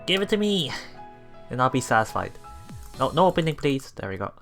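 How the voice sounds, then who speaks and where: A let's play host casually commenting on a visual novel and reading its lines aloud in a halting, chuckling way. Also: mid-range voice, sing-song, vocal fry, fairly bright tone. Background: visual novel background music.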